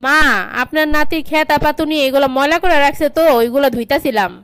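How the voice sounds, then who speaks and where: A woman's voice: sits high, fast talker, dry recording, studio quality, lightly acted. A woman playing a dutiful young daughter-in-law in a comedy cartoon, deferential, with a Bangladeshi accent. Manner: deferential, explaining. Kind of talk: comedy cartoon dialogue in colloquial dialect.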